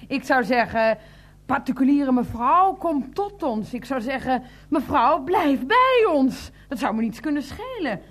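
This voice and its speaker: An actress playing a sharp-tongued, exasperated housemate in a radio play, sarcastic and theatrical, repeating words for emphasis. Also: female voice, high-pitched.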